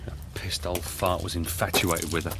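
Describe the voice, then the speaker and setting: A man's voice: sits low, little pitch movement, moderate pace, vocal fry, background noise. An actor in a radio drama playing a deferential, eager and easily flustered junior male detective. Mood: matter-of-fact.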